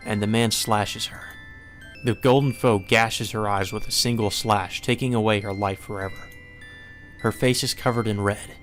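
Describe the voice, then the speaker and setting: A man reading a visual novel aloud as dramatic, solemn and measured narration. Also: on the low side, fairly bright tone. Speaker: narrator, let's play reader. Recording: music behind the voice.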